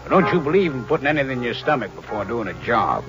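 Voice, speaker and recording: An actor playing a sly, needling, falsely friendly crook who schemes murder, in 1940s radio style. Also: male voice, medium pitch, big pitch swings, fast talker, music in the background. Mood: falsely chummy. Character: sly, fat scheming crook plotting his uncle's murder. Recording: dull, hissy old radio recording.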